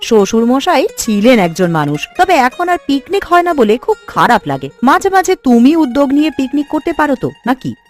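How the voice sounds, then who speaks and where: A woman playing an elderly village wife in a cartoon, chiding yet fond, with expressive delivery. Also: sits high, a little fast, few pauses, faint music behind. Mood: reflective. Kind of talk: cartoon dialogue.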